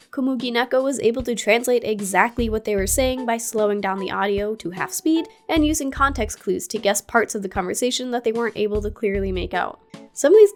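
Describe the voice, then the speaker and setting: Playful higher-voiced woman narrating a video essay. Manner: curious, playful, with casual asides. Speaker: gaming YouTuber.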